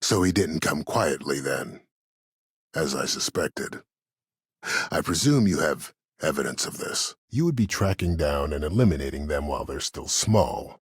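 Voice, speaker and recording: An actor playing a cold, calculating older military commander in a video game, speaking in a measured, formal, menacing and deliberate way. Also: male voice, extremely low pitch, animated, breathy edge, dry recording, clean studio sound, exaggerated voice. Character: cold, calculating older male imprisoned war commander, imperious schemer. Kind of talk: video game character dialogue.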